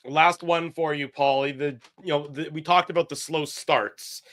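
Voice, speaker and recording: A man's voice: fairly high pitch, fairly even intonation, normal speed, a bit bright. A man co-hosting a sports talk show, putting long wind-up questions to his guest. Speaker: co-host.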